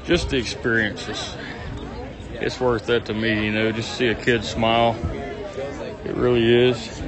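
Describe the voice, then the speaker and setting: A man's voice: medium pitch, level tone, a little slow. A man, chatting casually and enthusiastically through a handheld phone vlog, rambling with plenty of ums and uhs while walking around an outdoor pumpkin farm. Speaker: vlogger. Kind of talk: casual walk-and-talk vlog. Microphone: handheld phone camera. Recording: crowd noise outdoors. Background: crowd chatter.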